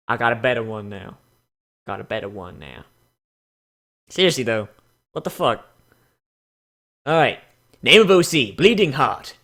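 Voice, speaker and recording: A man's voice: medium pitch, sharp tone. A man hosting a comic reading, breaking in with mocking, exclamatory, profanity-laden commentary. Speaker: host.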